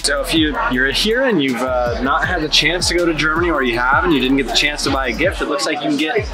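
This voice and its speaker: A man's voice: on the high side, fast, rough recording. A man in his thirties chatting casually to camera as a travel vlogger. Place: outdoor waterfront Christmas market at night. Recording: loud music and busy crowd behind. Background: music, crowd chatter.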